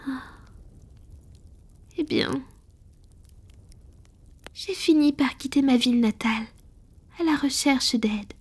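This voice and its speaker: A woman voicing a centuries-old female vampire in an intimate scripted roleplay, soft, breathy and confiding, with measured delivery and whispered touches. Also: high-pitched, full character voice. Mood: calm, proud reminiscing.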